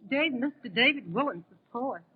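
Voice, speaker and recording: A woman's voice: high voice, expressive, moderate pace, slightly rough. A young woman from Tennessee giving ready, playful answers in a 1930s radio interview. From Tennessee, United States. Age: young adult. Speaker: convention page being interviewed. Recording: tinny, noisy 1930s radio broadcast.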